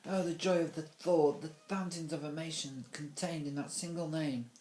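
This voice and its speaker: A man's voice: medium pitch, lively intonation, brisk, darkish tone, echoey room, a little hiss or hum, pitched above the performer's own voice, lightly acted, low-quality recording. A man voicing a fussy, easily overwhelmed male drama teacher with a theatrical, placating manner in a storybook. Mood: tearful rapture.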